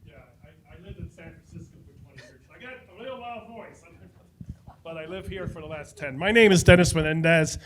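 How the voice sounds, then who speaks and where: An older man speaking up from the audience at a panel, rambling and halting with repeated words. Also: sits high, noisy background. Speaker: audience member.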